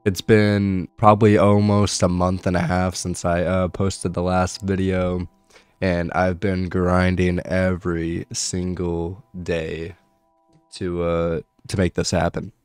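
Young guy, hoarse n raspy from being sick, real low voice, chill game commentary w/ faint music behind. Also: monotone, takes it slowly, dry recording. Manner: laid-back, swears casually. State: hoarse from illness. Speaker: gaming video creator. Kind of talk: casual gameplay commentary.

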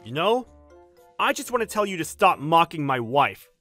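A man plays a hot-tempered young husband in a manga dub. His voice is bright and tense, with lively swings of pitch, earnest and forceful over background music. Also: moderate pace. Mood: stern. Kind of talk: dramatic manga dub acting.

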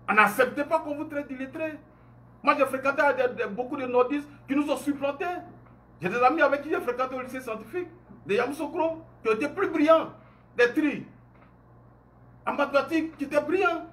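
A man's voice: very high-pitched, fairly bright tone. A man in his forties with an Ivorian French accent, speaking to camera at home in an impassioned monologue full of rhetorical questions. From Côte d'Ivoire. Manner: indignant, emphatic, rhetorical questions, gestures a lot. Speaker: online commentator. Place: home room indoors.

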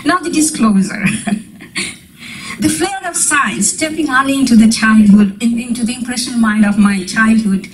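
A woman's voice: a little high, moderate pace, distant mic, a little hiss or hum, low-quality recording. A middle-aged woman from Bangladesh giving a storytelling talk with poems, holding a microphone in a community meeting room. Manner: storytelling, wondering tone, breaks into light laughs. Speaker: guest speaker. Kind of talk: lecture with poetry reading. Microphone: handheld microphone.

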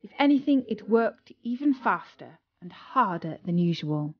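A woman's voice: mid-range voice, very animated, unhurried, dry recording, muffled recording. A woman narrating a scripted story as a storyteller.

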